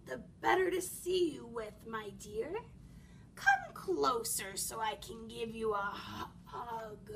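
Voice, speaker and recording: A woman voicing a sly, hungry male wolf in a storybook, falsely polite with drawn-out, oily phrasing. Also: on the high side, expressive, vocal fry, fairly bright tone, distant mic, slight background noise, voice pushed down, full character voice. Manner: drawn-out, oily, sometimes whispering.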